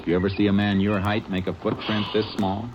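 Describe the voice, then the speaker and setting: An actor playing a calm, methodical male lawman detective who also narrates, matter-of-fact, in an old radio drama recording. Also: fairly low pitch, fairly quick, highs cut off, background noise, lightly acted. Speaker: Texas Ranger investigator.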